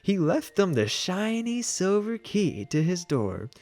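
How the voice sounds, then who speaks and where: Very animated man reading a storybook. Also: high voice, takes it slowly, no room sound. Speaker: children's book reader. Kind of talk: animated storybook read-aloud.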